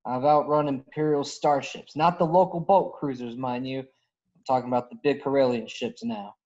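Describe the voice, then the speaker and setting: A man's voice: mid-range voice, steady tone, moderate pace, darkish tone, lightly acted. A man playing a cocky, tough, roguish star pilot of about thirty in an online script reading. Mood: boastful. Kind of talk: script table read. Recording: dull, lo-fi online call.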